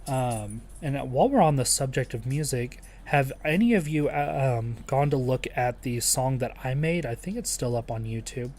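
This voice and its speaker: A man with a soothing, calm voice chatting casually with livestream viewers in a chill, low-energy, halting way. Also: faint music behind. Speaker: live streamer.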